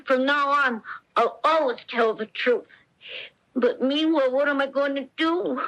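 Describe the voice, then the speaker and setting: A woman's voice: high voice, steady tone, unhurried, a bit bright, poor audio. A woman playing a naive, fibbing puppet boy in a 1970s radio play, exclamatory and melodramatic. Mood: dismayed. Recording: 1970s radio broadcast recording.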